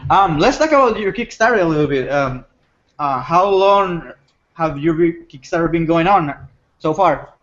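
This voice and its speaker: A man hosting an interview who chats in a friendly, excited way and often calls his guest "man". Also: fairly high pitch, moderate pace, lo-fi sound. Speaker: interview host. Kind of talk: casual interview chat.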